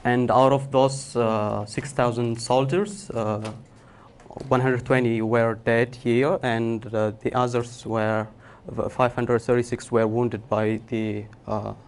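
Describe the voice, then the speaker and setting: Man from Ethiopia, young adult, lecturing into a microphone, halting with lots of uh, average pitch, faint room noise. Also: level tone. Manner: halting, many filler uhs and restarts. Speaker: guest lecturer. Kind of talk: public lecture to an audience. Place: lecture hall.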